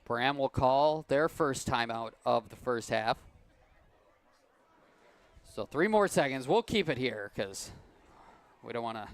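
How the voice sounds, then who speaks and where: A man doing play-by-play for a high school football game, calm and unhurried, his voice a bit worn and cracking. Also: clean tone. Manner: calm, unhurried play calling with easy laughs. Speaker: play-by-play announcer.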